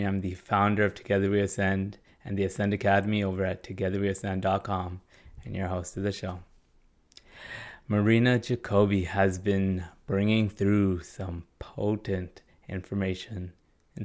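A man hosting a spiritual podcast, speaking in a measured, earnest way with drawn-out phrases. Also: sits low, a little mellow. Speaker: podcast host. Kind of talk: spiritual podcast intro and interview.

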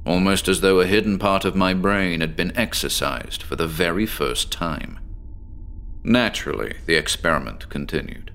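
A man playing an eccentric, sleepless professor who confides his obsession in a measured, articulate, formal way, as a horror audio drama monologue. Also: sits low, fairly bright tone. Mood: unsettled, grave. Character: eccentric male insomniac professor, obsessive, confiding, recounting strange experiments.

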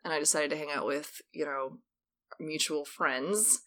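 Low-pitched young woman with a scratchy voice. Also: fairly even intonation, unhurried, bright, forceful tone. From Texas. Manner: candid, self-mocking, laughing. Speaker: podcast guest. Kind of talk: casual storytelling chat.

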